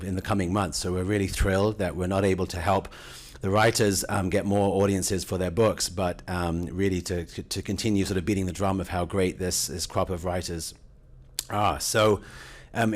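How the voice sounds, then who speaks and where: A man introducing a public event in an informal, conversational and enthusiastic way, with halting phrases. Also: deep voice. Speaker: cultural affairs official introducing event. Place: event room at a university.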